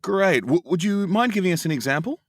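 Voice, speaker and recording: A man's voice: high-pitched, expressive, brisk, slightly rough, no echo. Podcast host man, close and dry. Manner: conversational, prompting questions. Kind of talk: interview hosting.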